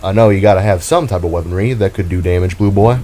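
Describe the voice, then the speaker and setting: Boastful, folksy adventurer for an audio drama, man putting it on; lowish voice. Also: fast, mellow, faint music behind, close to an everyday voice. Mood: cocky.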